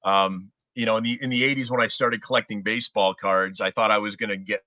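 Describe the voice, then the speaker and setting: A man's voice: on the low side, fairly even intonation, fast talker, pushed and bright, dry recording. A middle-aged American man, a former stadium announcer, chatting casually as an interview guest.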